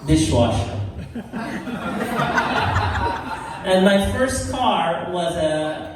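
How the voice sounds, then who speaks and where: An older comedian from Iraq, speaking with an Iraqi accent, works a bar crowd with self-deprecating jokes and little laughs between lines. His voice sits fairly high and his delivery is slow. The mic picks him up from a distance in a long, echoing room with clear background noise. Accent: Iraqi Arabic. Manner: self-deprecating, unhurried punchlines with laughing asides. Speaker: stand-up comedian. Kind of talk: stand-up routine. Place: bar stage. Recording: echoey bar from far off.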